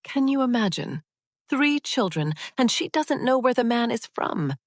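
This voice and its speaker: A woman's voice: on the high side, sing-song, a little slow, no echo, clean studio sound, voice pushed up. A woman voicing a gossiping, incredulous neighbour in a folktale, judgmental and full of scornful rhetorical questions.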